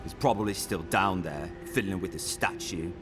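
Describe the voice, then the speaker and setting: A man's voice: deep voice, normal speed, natural voice. An actor playing a wounded expedition member in a video game, a coarse, folksy grumbler who is bitter at his crew. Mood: wry, grateful. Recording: music behind the voice. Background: game music.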